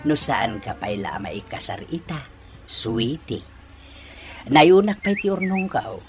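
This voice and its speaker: A man's voice: a little high, very animated, unhurried, faint music behind. A man playing a vain, giggly, doting middle-aged Ilocano father and husband in a comedic radio drama. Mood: doting, flattered. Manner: exaggerated, drawling, prone to gasps and giggles.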